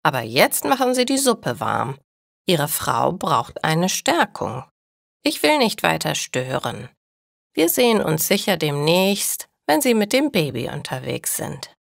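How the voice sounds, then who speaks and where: A woman voicing an eager, talkative elderly neighbour in a slow storybook dialogue for learners. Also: low-pitched, sing-song, gravelly, dry recording, studio quality, full character voice. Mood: kindly, brisk. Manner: enthusiastic, talkative.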